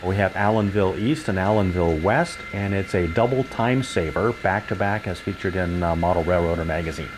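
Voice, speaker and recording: A man's voice: low voice, a little fast, few pauses, background noise. An older man giving an informal, explanatory tour of a model railroad layout as its hobbyist guide.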